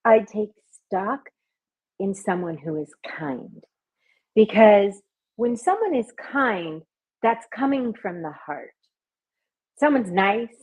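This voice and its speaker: A woman working as a hypnotist, talking in an interview with a soft, soothing voice. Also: mid-range voice, expressive, slow, long pauses, breathy edge. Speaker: hypnotist and party performer. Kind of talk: conversational interview answers.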